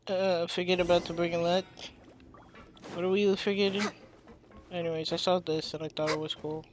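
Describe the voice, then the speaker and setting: A woman's voice: on the low side, flat delivery, normal speed, noisy background. A female gamer giving casual, informal commentary and muttered reactions while she plays. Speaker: video game streamer. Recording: faint game music behind.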